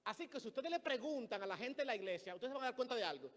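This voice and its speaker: A man's voice: extremely high pitch, expressive, rapid-fire, a bit bright. A man with a Dominican Spanish accent preaching a sermon in a church, telling stories and acting out the people he quotes. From Dominican Republic. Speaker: pastor. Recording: long reverb of a church hall.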